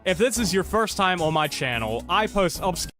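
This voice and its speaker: A man ranting sarcastically about his frustrations, his voice hoarse from being sick. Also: sits high, very animated, moderate pace, pushed and bright, faint music behind. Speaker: content creator. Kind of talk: ranting commentary video.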